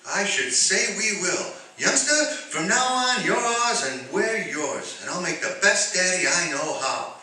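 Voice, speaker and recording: A man's voice: high-pitched, lively intonation, a little slow, few pauses, pushed and bright, exaggerated voice. A man playing an earnest, good-hearted husband in old-time radio drama style. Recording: echoey stage, distant mic, faint noise.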